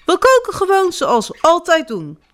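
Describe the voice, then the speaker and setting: A woman's voice: very high voice, wildly swooping pitch, normal speed, fairly bright tone, full character voice. A woman voicing a grumpy storybook cat who snaps and blusters. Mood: snappish. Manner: snappish, blustering.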